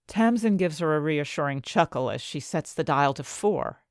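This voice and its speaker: A woman playing an ambitious, conflicted scientist who narrates her own story with dramatic, deliberate phrasing. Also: low voice, lively intonation, clear, resonant tone, dry recording, studio quality. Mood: tense narration. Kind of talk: first-person audio fiction narration.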